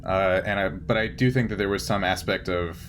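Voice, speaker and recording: A man's voice: low voice, brisk, noisy background. A man narrating as game master of a tabletop roleplaying game, improvising and often searching for words.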